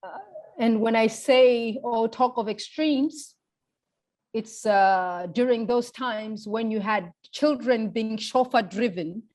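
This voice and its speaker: Measured, deliberate Tanzanian woman. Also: a little high, a little mellow. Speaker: interviewee, feminist public intellectual. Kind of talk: reflective oral history interview.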